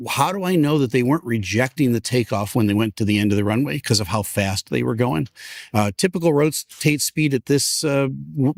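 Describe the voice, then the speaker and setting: A man's voice: medium pitch, no echo, clean studio sound. A man who speaks as a pilot, giving an unscripted, conversational expert breakdown of video footage. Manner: explanatory, conversational, first-hand.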